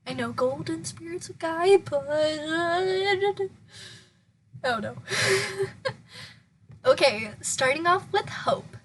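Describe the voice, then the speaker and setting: High-pitched young woman, casual and unhurried. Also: lively intonation, slight background noise. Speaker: amateur voice actor auditioning. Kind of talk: casual audition intro and outro.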